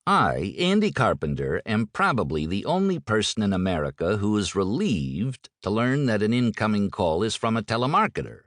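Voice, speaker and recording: Low-voiced man narrating with swooping intonation. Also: big pitch swings, moderate pace, hardly any pauses, no echo, studio quality. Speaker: audiobook narrator. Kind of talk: scripted first-person audiobook narration.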